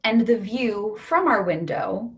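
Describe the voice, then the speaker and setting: A woman reading the part of a calm, literal-minded character who keeps pressing her point, in a staged play reading. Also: medium pitch, slow pace. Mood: arguing calmly. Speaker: reader in a readers theatre play.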